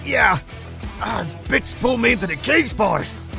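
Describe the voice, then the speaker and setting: A man's voice: extremely high pitch, expressive, moderate pace, gravelly, pushed and bright, soft background music, heavily acted. A man playing a hurt, exasperated prison officer in an audio drama, groaning and complaining. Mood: pained, annoyed.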